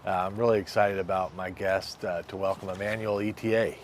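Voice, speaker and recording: A male talk show host with a low-pitched voice opens warmly and keeps things upbeat and affirming. He leans on fillers as he talks. A faint noise sits under the recording. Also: steady tone, a little fast. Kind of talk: casual interview chat.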